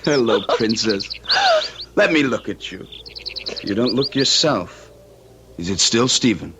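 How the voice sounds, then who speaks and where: A man's voice: mid-range voice, animated, a little slow, noisy background, natural voice. An actor in an old radio drama playing a loyal, concerned young man who narrates and converses earnestly. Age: young adult. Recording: muffled old radio recording with music.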